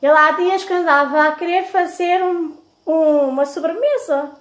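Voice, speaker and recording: A woman's voice: very high voice, moderate pace. A middle-aged woman with a European Portuguese accent chatting casually and affectionately to her viewers as a home vlogger. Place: home kitchen, indoors. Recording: echoey domestic kitchen.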